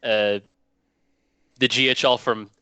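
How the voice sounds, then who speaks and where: A man's voice: mid-range voice, steady tone, takes it slowly, sharp tone, clean tone, no room sound. A young man from Norway chatting casually as a guest, rambling and restarting his phrases. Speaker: guest hobbyist. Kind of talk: casual chat.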